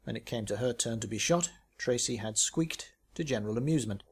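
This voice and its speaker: A man's voice: medium pitch, fairly quick, husky. A man reading his own short story as a scripted narration.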